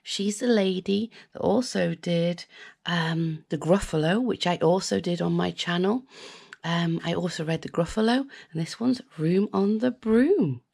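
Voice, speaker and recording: A woman with a British accent reading a children's picture book aloud in an expressive, sing-song way, with asides to young listeners. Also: lowish voice, unhurried, creaky. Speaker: storyteller, children's channel host.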